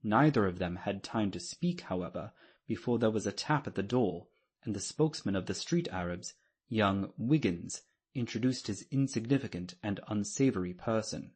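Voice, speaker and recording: Male narrator for classic fiction: formal, measured literary read, fairly deep, rough-edged tone. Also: expressive, a little mellow. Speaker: audiobook narrator. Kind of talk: scripted dramatic literary narration.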